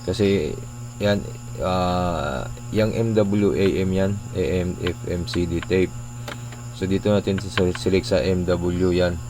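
A man's voice: low voice, steady tone, slow pace, background noise. A man casually explaining a hands-on repair, informally tossing in short tag questions, like a tutorial vlogger.

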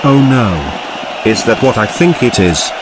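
Lively, alarmed man acting as stadium announcer. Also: lowish voice, slow pace. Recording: noisy outdoor urban background.

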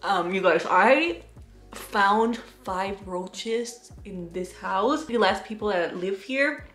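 A woman's voice: mid-range voice, animated, takes it slowly, fairly bright tone, reverberant, soft background music. A young woman in her twenties chatting casually to camera as a beauty vlogger, with lots of fillers, in a small bedroom. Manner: chatty, filler-heavy, addresses viewers as "you guys".

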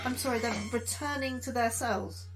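A woman's voice: on the high side, steady tone, moderate pace, over music, natural voice. An actress playing an anxious, sardonic female astrobiologist in a sci-fi horror audio drama. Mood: uneasy, dry. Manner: anxious, questioning, sarcastic. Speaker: actor in audio drama, science officer.

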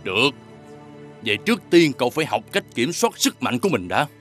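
A man voicing a scheming male gecko who coaxes and goads like a martial mentor in an animated fairy tale. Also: medium pitch, a little fast, soft background music. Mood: coaxing, encouraging. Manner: insinuating, coaxing, with theatrical emphasis.

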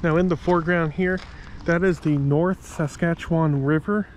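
Guy doing a travel vlog walk outside in a park, kinda high voice, gushing and rambly, faint city hum behind. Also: steady tone, normal speed. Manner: enthusiastic, rambling with self-corrections. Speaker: travel vlogger. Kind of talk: narrated walking tour.

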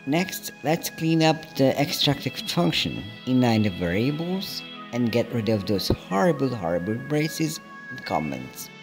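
A man calmly narrating a programming tutorial step by step in a scripted voice-over. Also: mid-range voice, measured pace, low-quality recording. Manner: calm, methodical, explaining each step. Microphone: voice-over over screen recording. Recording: soft background music.

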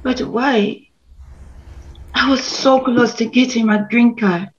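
A woman's voice: a little high, fairly even intonation, slow pace. A woman playing a loving young Tanzanian mother in a screenplay table read on a video call. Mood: dismayed. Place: indoor room, video call. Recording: dull video-call audio.

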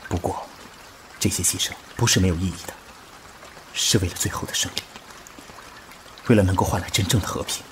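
Man acting very deep, resolute undercover officer. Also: a little fast, long pauses, background noise. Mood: resolute, grave. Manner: grave, restrained, resolute. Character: resolute young undercover Communist officer, calm martyr. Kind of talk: solemn Chinese radio drama acting.

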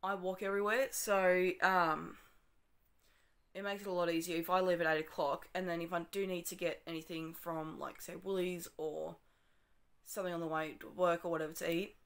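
A young Australian woman vlogging her morning at home, chatty and rambling, with a slightly croaky voice. She talks into her phone camera in a small bedroom and corrects herself often. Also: lowish voice, flat delivery, moderate pace. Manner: chatty, rambling, self-correcting with frequent ums. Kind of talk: casual day-in-the-life vlog. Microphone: phone camera, handheld or propped up.

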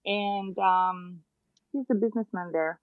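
A woman's voice: medium pitch, measured pace, dry recording. Realtor guest, a woman in her thirties with a Russian accent, over a thin phone-like call line. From Moldova. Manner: chatty, hesitant, many fillers. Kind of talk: casual interview. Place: home room, indoors. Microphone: video call. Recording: dry tinny video call audio.

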